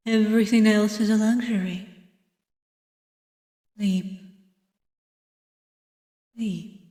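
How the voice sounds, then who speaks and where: A woman voicing a reserved, weary detective who narrates a visual novel in a measured, dramatic way. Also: mid-range voice, dark tone, lightly acted. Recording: distant mic, echoey long reverb.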